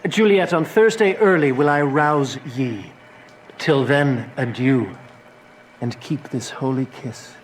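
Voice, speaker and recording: An actor playing a courtly, self-assured noble suitor in a Shakespearean radio drama, speaking formally. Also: male voice, medium pitch, slow, a little hiss or hum, close to an everyday voice, rough recording. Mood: courting. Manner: formal, courtly, smooth-talking.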